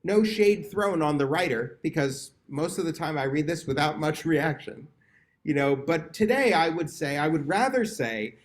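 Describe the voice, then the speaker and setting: A man leading a prayer service, giving a reflective, hesitant sermon full of pauses and restarts. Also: a little high, lively intonation. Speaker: service leader.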